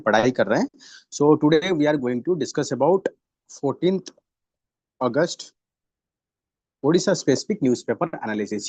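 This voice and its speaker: A man's voice: on the high side, a little mellow, dry recording. A man with an Indian English accent teaching an online exam-prep class on current affairs, often repeating himself and saying "you know". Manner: repeats phrases, fillers like "you know", mixes in Hindi.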